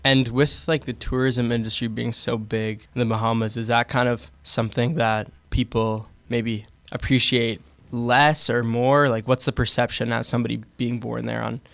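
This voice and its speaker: A man's voice: medium pitch, little pitch movement, moderate pace, vocal fry, hardly any pauses, a bit bright, no room sound. A man hosting a radio show, asking curious, conversational questions. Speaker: radio host. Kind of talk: radio interview. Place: radio studio.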